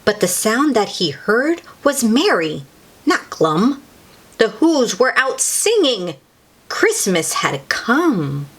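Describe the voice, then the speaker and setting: Woman, children's story host. Very lively intonation, unhurried pace, exclaims between lines of the book. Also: on the high side, breathy edge. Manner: animated, exclaiming, drawn-out words for effect. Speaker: children's read-aloud host. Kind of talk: expressive picture-book read-aloud with asides to young listeners.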